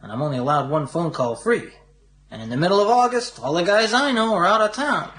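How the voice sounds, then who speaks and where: An actor playing a brash, tipsy young American millionaire playboy with an American accent in a radio drama. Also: male voice, sits high, expressive, fairly quick, fairly bright tone. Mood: breezy.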